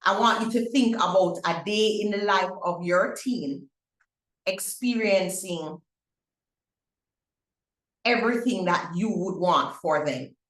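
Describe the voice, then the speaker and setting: A woman's voice: medium pitch, slow pace, pauses often, a bit bright, echoey room, poor audio. A middle-aged Jamaican woman hosting a parents' webinar, upbeat, with many fillers and restarts. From Barbados. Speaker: webinar host. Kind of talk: webinar info session.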